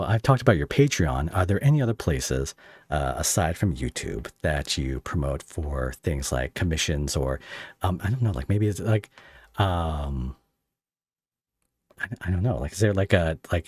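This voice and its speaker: A man hosting a casual live interview, speaking in a halting, rambling way as he searches for phrasing. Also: low-pitched, darkish tone, breathy edge, dry recording. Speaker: podcast host interviewing a guest.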